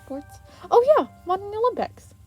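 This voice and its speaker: Woman voicing an earnest, bright-eyed teenage boy hero. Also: very high-pitched, lively intonation, moderate pace, faint music behind, pitched above the performer's own voice. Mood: excited, flustered. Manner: stammering when flustered.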